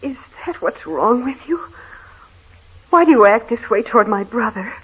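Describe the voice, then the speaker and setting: An actress playing a frightened, anxious wife in an old radio horror drama, fretful and pleading. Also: female voice, fairly high pitch, animated, normal speed, husky. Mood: alarmed pleading. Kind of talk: vintage radio horror acting. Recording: tinny, hissy old-time radio recording.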